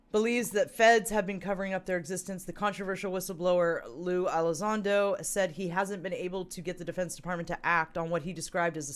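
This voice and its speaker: A woman co-hosting a casual talk show, hoarse and losing her voice, reading news stories aloud with lots of fillers and throat clearing. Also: fairly low pitch. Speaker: co-host.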